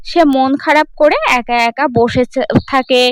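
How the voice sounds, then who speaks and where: A woman's voice: high voice, fairly even intonation, unhurried, clean tone, dry recording. A woman narrating a scripted fairy tale for children as a cartoon storyteller.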